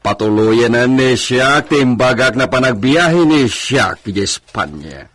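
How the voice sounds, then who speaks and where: An actor playing a haughty, suspicious hacienda master in radio drama style, theatrical and drawn-out, dropping in Spanish phrases. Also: male voice, medium pitch, animated, fairly bright tone. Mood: measured, imperious.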